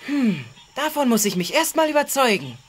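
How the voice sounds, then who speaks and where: A woman's voice: sits high, very animated, normal speed, faint music behind. A woman playing a plucky, curious schoolboy hero in a German children's audio drama.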